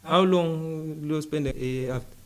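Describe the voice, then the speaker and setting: A man's voice: on the high side, slow pace. A South African man hosting a casual interview, asking questions while switching between local vernacular and English. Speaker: interviewer, host.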